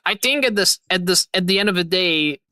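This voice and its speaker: A young man in his twenties hosting a relaxed online chat, his voice bright and slightly high. He talks in excited, rambling bursts and laughs a lot. The sound is dry and close. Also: brisk, clean tone, no echo. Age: 20s. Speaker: host. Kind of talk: casual chat on a livestream.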